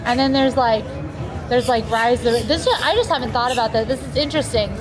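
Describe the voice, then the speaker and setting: Higher-voiced woman chatting over loud music. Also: a little fast, a crowd in the background. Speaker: vlog host. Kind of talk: casual, animated chat. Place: outdoors at a shopping and dining district. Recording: loud music behind, outdoors. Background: loud music, outdoor ambience.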